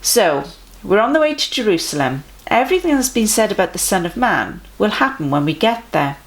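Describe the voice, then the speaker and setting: A woman voicing a friendly, earnest male teacher in a children's storybook, emphatic and gently instructive. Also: medium pitch, sing-song, brisk, poor audio.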